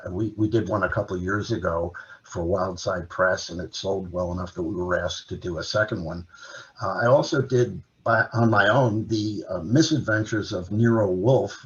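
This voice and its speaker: Man, very low voice, muffled lo-fi sound, native Dutch speaker, steady listing with uhs. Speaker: author and translator. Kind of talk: casual presentation at an online library event.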